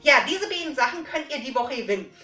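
A middle-aged woman, chatting informally to viewers in an animated way with frequent tag questions. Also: high-pitched, fast talker. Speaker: host of a book giveaway vlog. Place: small indoor room lined with books. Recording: roomy echo, faint music.

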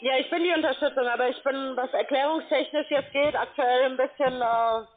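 High-pitched woman on a phone line. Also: steady tone, a little hiss or hum. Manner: flustered, halting explanations. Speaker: call centre agent. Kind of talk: phone sales support call. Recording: narrow, tinny phone line.

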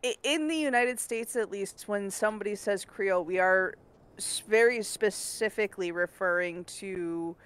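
A woman from Hawaii who works as a translator, chatting casually with a measured delivery and frequent pauses and fillers. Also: medium pitch, clean tone. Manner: measured, thinks aloud with many fillers. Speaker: translator and co-host. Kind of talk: casual chat.

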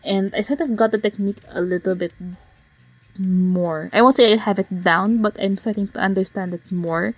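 Woman artist, bit hoarse, rambly speedpaint voiceover, dry close sound, faint music. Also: mid-range voice, moderate pace, no room sound, lo-fi sound. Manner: rambling, frequent fillers and self-corrections. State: slightly hoarse. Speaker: digital artist narrating a speedpaint. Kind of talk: casual voiceover commentary. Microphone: home voiceover mic.

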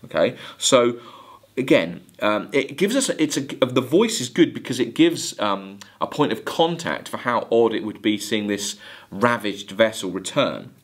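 A man's voice: mid-range voice, sing-song, measured pace. A man in his thirties lecturing on literature, explaining in hesitant phrases with emphatic stresses. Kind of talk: video lecture with poem readings and commentary.

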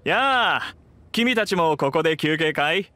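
A man's voice: a little high, expressive, a little slow, bright, forceful tone, slight background noise. An actor playing a two-faced young male bandit who poses as a merchant in an anime game, with a slick, theatrical manner. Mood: falsely friendly. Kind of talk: anime game character acting.

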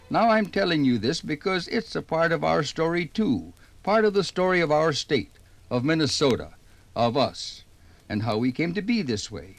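A homespun American everyman narrating 1950s radio. His voice sits a little high and swings up and down as he chats in plain, folksy talk, with music in the production. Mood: folksy, emphatic. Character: folksy plain-spoken Minnesotan man narrating his state's story.

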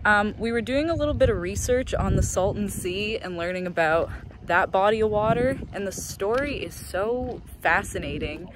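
A woman's voice: medium pitch, expressive, normal speed, hardly any pauses. A young woman hosting a vlog, talking casually and off the cuff as she explores outdoors on a handheld phone. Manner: informal, chatty. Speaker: vlog host. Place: outdoors in open dry lot and street. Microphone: handheld phone at arm's length. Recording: clear outdoor background noise.

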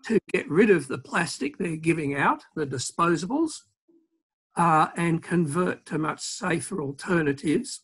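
A man, answering panel questions on a video call in a measured way with many pauses. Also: a little high, fairly bright tone, husky. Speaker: environmental campaigner, panelist. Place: home office, indoors. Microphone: video call webcam.

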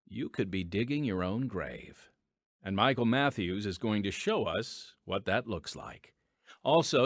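A man's voice: medium pitch, dry recording, clean studio sound. A man hosting a podcast, delivering a scripted introduction. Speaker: podcast host. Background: music.

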